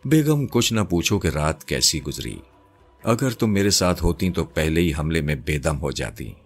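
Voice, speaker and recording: A man's voice: very deep voice, sing-song, soft background music, full character voice. A man voicing a cheerful, weary uncle in an audio story, with an easygoing, familiar manner. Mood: pleased.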